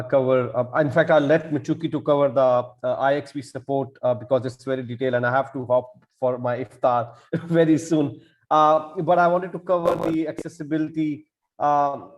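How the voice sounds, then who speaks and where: A man giving unscripted remarks as a panelist, halting and repeating words as he goes. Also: fairly high pitch, a little mellow, low-quality recording. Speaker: panelist from the organization.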